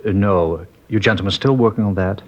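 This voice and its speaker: An actor playing a mild, trusting older man who owns a small dress factory, talking in a gentle, hesitant and rambling way in a 1950s radio drama. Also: lowish voice, brisk, natural voice. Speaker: witness in a police interview. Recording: muffled vintage radio broadcast.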